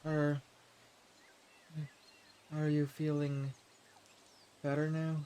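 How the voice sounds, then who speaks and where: A man's voice: fairly high pitch, monotone, very slow, mellow, a little hiss or hum. Man acting a mild wandering adventurer, birdsong behind. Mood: gentle, reassuring. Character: mild, level-headed wandering male adventurer. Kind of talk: audio roleplay acting addressed to listener.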